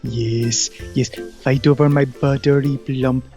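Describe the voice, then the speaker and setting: An actor playing a wily, hammy chubby cat who coaxes melodramatically, in a comic audio drama. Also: male voice, medium pitch, flat delivery, slow, music in the background, natural voice. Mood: teasing. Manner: coaxing, melodramatic.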